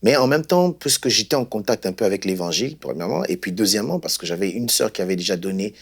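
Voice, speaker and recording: A man from Congo, a guest pastor telling his life story in an unhurried, hesitant way. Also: on the low side, expressive, husky. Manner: unhurried, hesitant, many fillers.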